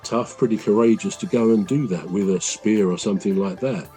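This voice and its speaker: A man's voice: on the low side, brisk, mellow, faint music behind. A man co-hosting a podcast in casual chat, thinking aloud with lots of fillers and restarts. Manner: thoughtful, rambling, with frequent fillers and restarts. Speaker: podcast co-host.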